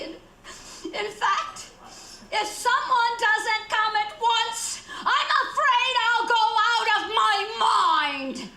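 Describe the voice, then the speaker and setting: A woman's voice: very high voice, sharp tone, full character voice. A woman playing a fretful, demanding invalid who is alone and frightened, repeating herself breathlessly in a radio suspense play. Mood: agitated, indignant. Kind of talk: radio suspense drama acting. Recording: roomy echo with faint background noise.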